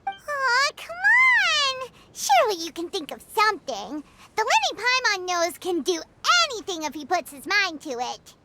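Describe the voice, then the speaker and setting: A woman's voice: very high-pitched, huge pitch swings, moderate pace, very noisy, exaggerated voice. A woman voicing a tiny, bubbly and pushy female fairy companion in a video game, who talks of herself in the third person and pleads in a whiny way. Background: game music.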